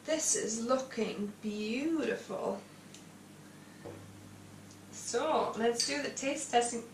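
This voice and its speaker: A woman's voice: fairly high pitch, very slow. A woman in her thirties giving a casual cooking demonstration as host. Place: indoor home kitchen. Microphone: clipped lapel microphone. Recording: echoey room, slightly distant, faint noise.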